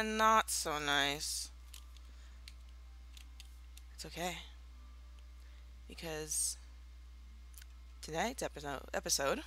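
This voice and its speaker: Very bright, playfully theatrical young woman. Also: mid-range voice, no echo. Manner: playful, mock-villainous, theatrical. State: slightly raspy, just out of bed. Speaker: Minecraft let's player. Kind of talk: casual gameplay commentary.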